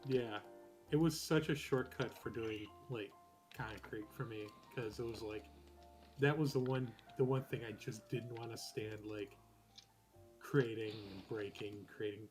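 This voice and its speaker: A man's voice: on the low side, fairly even intonation, measured pace, darkish tone. A man chatting casually with friends while playing a building game, rambling with plenty of fillers. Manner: rambling, many fillers and restarts. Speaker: player on a game server. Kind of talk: casual chat while gaming. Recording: background game music clearly heard.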